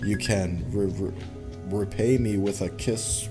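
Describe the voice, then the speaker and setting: A man's voice: fairly low pitch, fairly even intonation, slow pace, music in the background. A man voicing a brash, defiant young tomboy girl in a visual novel game, blunt and emphatic. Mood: proud, teasing.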